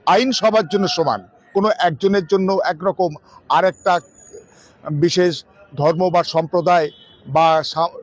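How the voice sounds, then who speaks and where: Higher-voiced lawyer, measured man. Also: steady tone. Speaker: lawyer being interviewed. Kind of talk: formal interview answer.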